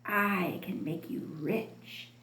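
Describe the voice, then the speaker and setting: A woman voicing a scheming, wicked male sorcerer in a storybook tale, speaking slowly and slyly. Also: mid-range voice, a bit bright, echoey room, voice pushed down. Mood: sly, enticing. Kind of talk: storybook character voice.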